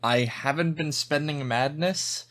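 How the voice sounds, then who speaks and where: A man speaking as a tabletop game player, describing his character in a measured, unhurried way. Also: fairly high pitch, a bit bright.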